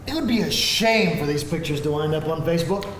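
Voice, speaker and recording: A man's voice: fairly high pitch, expressive, brisk, fairly bright tone. An actor playing a smug, scheming father in a theatrical live screenplay read. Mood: smug gloating. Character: smug scheming father who blackmails rival parents. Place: live event room with audience. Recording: echoey room, faint noise.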